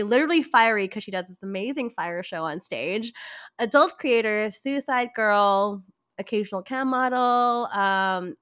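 A woman hosting a casual podcast, her voice hoarse and raspy from a cold, bubbly and gushing as she talks. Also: medium pitch, fairly bright tone, no echo, clean studio sound. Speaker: podcast host.

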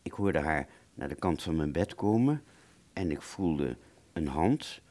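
An actor playing an anxious, confused wounded airman in a radio drama, speaking in an agitated, halting stammer. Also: rumbling low, lively intonation, a little mellow, no echo, exaggerated voice. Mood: uneasy. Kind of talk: Dutch radio drama, first-person narration and dialogue.

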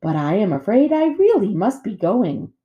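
A woman voicing a gentle robot mother in a storybook, speaking politely and precisely in formal phrases. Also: mid-range voice, sing-song, moderate pace, dull audio, voice pushed up, lightly acted. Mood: friendly, formal.

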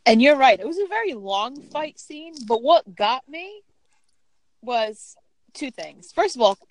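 A woman's voice: high-pitched, big pitch swings, unhurried, dry recording, rough recording. A woman chatting casually on a podcast, speaking emphatically and swearing for effect. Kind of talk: casual chat.

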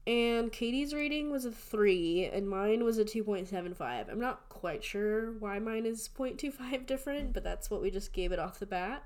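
A woman's voice: on the high side, expressive, moderate pace, hardly any pauses, a little mellow. A woman in her twenties co-hosting a podcast in a casual chat, telling stories in an informal way peppered with "like". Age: 20s. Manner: chatty, informal storytelling with frequent "like". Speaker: podcast co-host.

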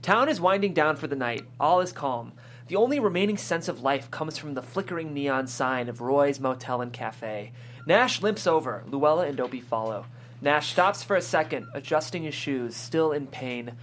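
A woman's voice: low voice, animated, moderate pace. A woman reading stage directions as scripted narration at a table read. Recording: clear background hum and noise.

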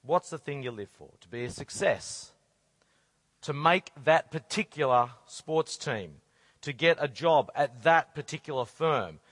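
A hoarse, croaky man preaches to a crowd, firing off earnest rhetorical questions. His voice sits a little higher than most men's and sounds close and dry, with no room echo. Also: expressive, a little slow, fairly bright tone. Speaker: conference speaker. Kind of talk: sermon.

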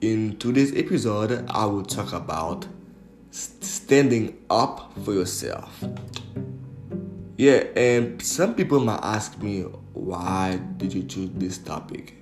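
A man's voice: lowish voice, lively intonation, takes it slowly. A young man from Haiti hosting a podcast, telling a story in a loose, casual way with lots of fillers and swearing. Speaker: podcast host. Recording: echoey room with faint guitar music.

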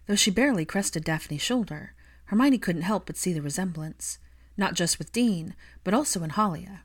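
A woman's voice: fairly low pitch, animated, moderate pace, husky. A woman reading fan fiction aloud as a scripted audiobook narration.

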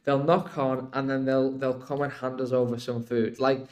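A man's voice: mid-range voice, little pitch movement, normal speed, darkish tone. Chatty young man in conversation. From Iraq until age eight, then UK. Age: 20s. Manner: rambling, emphatic explaining with frequent "like" and "right?". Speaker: podcast guest. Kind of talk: casual podcast conversation.

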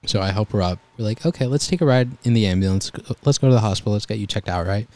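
A young man telling EMT stories in a relaxed, calm voice during a casual chat. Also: fairly low pitch, very fast, dry recording. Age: young adult. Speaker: guest, EMT volunteer.

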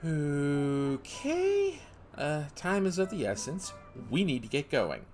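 A harried male agent barking clipped orders at his team, as a man acts him out. His pitch swings widely as he talks. The recording rings with heavy room echo over faint music. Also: wildly swooping pitch, takes it slowly, darkish tone, exaggerated voice. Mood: brisk. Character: exasperated male federal agent in charge. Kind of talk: comedy audio drama acting. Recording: echoey, roomy recording.

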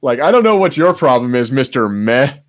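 A man's voice: medium pitch, big pitch swings, a little fast. A man co-hosting a podcast in casual, unscripted chat, rambling, searching for words and breaking off mid-thought, with some swearing. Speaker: podcast co-host.

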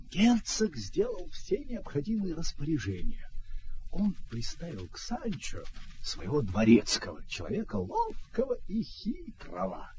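A genial storyteller in a radio drama. His highish voice swoops widely as he addresses the audience like a theatrical host. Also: mellow, slight background noise, heavily acted, low-quality recording. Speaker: narrator. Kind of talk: radio play narration.

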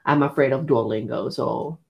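Gravelly-voiced young man, hesitant. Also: medium pitch, normal speed, a little mellow. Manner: hesitant, many "um" and "like" fillers. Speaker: podcast co-host. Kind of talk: casual chat.

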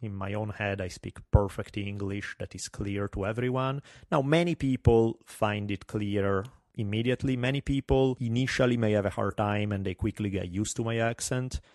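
Podcast host, middle-aged man, thick Italian accent, fairly low voice, wry and self-deprecating. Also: moderate pace, few pauses, darkish tone, dry recording, studio quality. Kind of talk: solo podcast monologue.

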